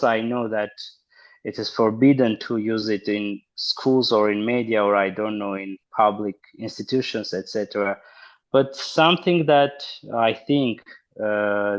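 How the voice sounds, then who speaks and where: A man's voice: medium pitch, muffled recording. A man in his thirties with an Armenian accent, a linguist answering interview questions in a hesitant, thoughtful way with many fillers. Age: 30s. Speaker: linguist guest. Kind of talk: casual interview over a call.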